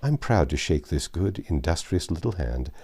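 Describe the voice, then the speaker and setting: Man playing a deep, tender storybook father. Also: sing-song, normal speed, warm tone, exaggerated voice. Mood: tender, proud. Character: tender, proud middle-aged father, gently praising daughters.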